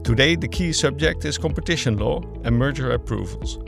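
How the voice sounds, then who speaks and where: A man's voice: mid-range voice, animated, fairly quick, creaky, music in the background. A man whose first language is Dutch, hosting a studio podcast and asking polite, structured questions. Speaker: podcast host. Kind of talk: hosted podcast interview.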